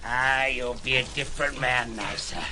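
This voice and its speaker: An actor playing a rough, excitable seaman in an audio drama, servile one moment and snarling the next. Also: medium pitch, normal speed, background noise, lightly acted. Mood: eager, servile.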